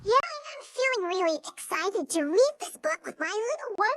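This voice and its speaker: A woman's voice: very high-pitched, sing-song, normal speed, fairly bright tone, no echo, heavily acted. A woman voicing a sweet, curious young female virtual singer character, cutesy, polite and eager. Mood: delighted.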